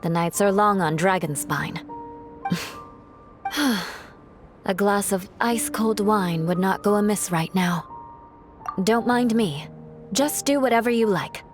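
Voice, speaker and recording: Young noblewoman knight, played by an actress: haughty, refined, mid-pitched game performance, music underneath. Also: slow pace, long pauses, close to an everyday voice. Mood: cool, aloof. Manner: haughty, formal, composed. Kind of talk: video game voice acting.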